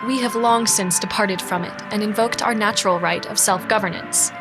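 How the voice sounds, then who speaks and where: An actress playing a proud council diplomat in a sci-fi audio drama, with formal, composed diction. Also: female voice, mid-range voice, normal speed, close to an everyday voice. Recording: voice set over clear music.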